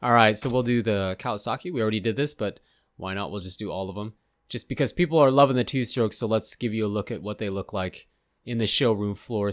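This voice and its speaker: A man hosting a gaming channel chats loosely over his footage, hedging and rambling as he goes. His voice is a little hoarse, as if he is losing it. The sound is dry and close, with no room around it. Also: lowish voice, no echo. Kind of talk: casual gameplay commentary.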